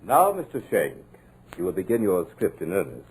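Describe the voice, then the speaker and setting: An actor playing a cultured, sinister villain in a 1950s radio drama, with a husky, measured, mocking delivery in an old radio recording. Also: male voice, low-pitched, huge pitch swings, very noisy. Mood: menacing. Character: sinister, cultured male villain holding a writer captive.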